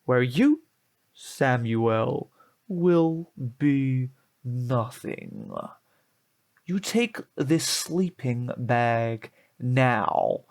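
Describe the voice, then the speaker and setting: Casting: sinister model teenager, grandiose and formal, mid-range voice, deliberate slow pace. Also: male voice, mid-range voice, very animated, creaky, a little mellow, exaggerated voice. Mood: grandiose, cold. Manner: formal, pompous, measured. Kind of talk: audio drama villain.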